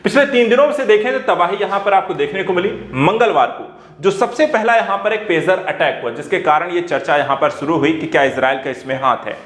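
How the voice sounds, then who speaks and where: A man teaches. He explains current affairs emphatically, circling back over his points like a coaching instructor. The room around him rings with a clear echo. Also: sits high, brisk, a bit bright. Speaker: teacher at an exam coaching academy. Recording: echoey room.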